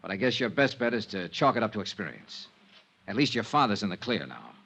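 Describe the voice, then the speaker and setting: An actor playing a tough, wry insurance investigator in a 1950s radio drama, with an American accent and terse, clipped dramatic delivery. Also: male voice, on the low side, sing-song, fairly quick, vocal fry, a bit bright, husky, exaggerated voice. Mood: grave, businesslike. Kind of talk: 1950s radio detective acting, first-person narration and dialogue. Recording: muffled, noisy old radio recording.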